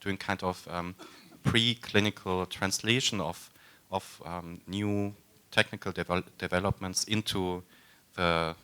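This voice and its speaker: A man introducing himself on a panel in a relaxed, hesitant and slightly wry way. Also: low-pitched, a little slow, smooth. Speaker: panelist, researcher.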